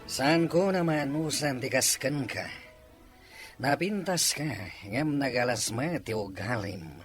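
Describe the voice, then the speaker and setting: Lively, blunt young Ilocano man. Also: mid-range voice, slow, soft background music. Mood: scornful, angry. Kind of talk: Ilocano radio drama acting.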